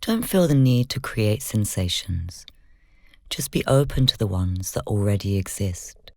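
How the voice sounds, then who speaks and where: Soft, dry-sounding meditation guide. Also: low voice, very animated, unhurried, vocal fry, no echo. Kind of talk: guided meditation, slow instructional narration.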